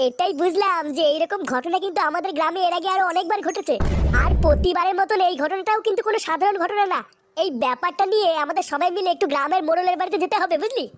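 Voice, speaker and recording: A man voicing a serious, earnest young club leader in a cartoon, with a pitch-shifted voice. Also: rapid-fire, a bit bright, slight background noise. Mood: resolute. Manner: earnest, explanatory. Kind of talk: comic cartoon dubbing.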